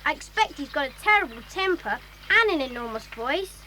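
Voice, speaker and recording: A woman's voice: sits high, big pitch swings, normal speed, sharp tone, slight background noise, heavily acted, rough recording. A woman voicing an eager, chatty schoolboy in a children's audio drama. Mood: excited, amused.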